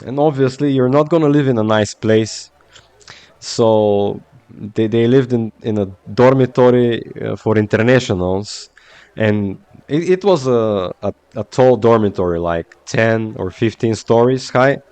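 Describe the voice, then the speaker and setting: A man's voice: mid-range voice, a little slow, darkish tone, no echo. A man from Bulgaria with a Bulgarian accent, chatting casually while streaming a game. He rambles in a hesitant way, full of fillers.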